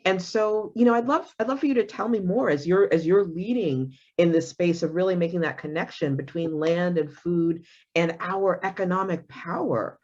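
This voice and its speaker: Woman host on a panel, lowish voice, dull lo-fi audio, thinks out loud with lots of restarts. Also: moderate pace. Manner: thoughtful, many restarts. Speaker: forum host. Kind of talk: panel discussion.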